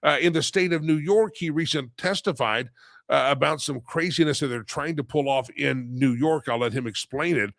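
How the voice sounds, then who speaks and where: A man's voice: fairly high pitch, moderate pace, gravelly, a bit bright, no room sound, clean studio sound. Stuffy-voiced male show host with a cold. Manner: rambling, many fillers. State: head cold, slightly nasal. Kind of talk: casual live show talk.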